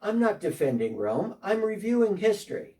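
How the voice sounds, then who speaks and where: A man's voice: high voice, sing-song, moderate pace, mellow, distant mic, pitched above the performer's own voice. A man playing a defensive, talkative tax collector in a church dramatic reading, speaking one side of a phone call.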